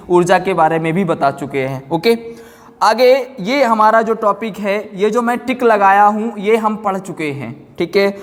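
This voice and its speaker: A man teaching an online exam-prep class in an explanatory way, repeating himself and mixing in English terms. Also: sits high. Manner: explanatory, repeats check-words and phrases. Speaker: physics teacher. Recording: long hall-like reverb.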